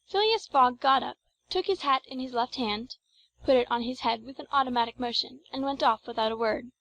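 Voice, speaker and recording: A woman narrates an audiobook of classic fiction. Her voice sits somewhat high, and her delivery is measured and formal, as scripted storytelling. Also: creaky, a bit bright, poor audio.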